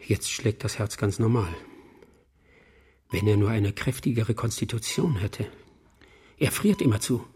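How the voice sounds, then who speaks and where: An actor playing a brusque, rational surgeon-professor in a radio drama, with a clipped, authoritative manner. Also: male voice, fairly low pitch, unhurried, long pauses, darkish tone, a little hiss or hum, lightly acted. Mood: sober, worried.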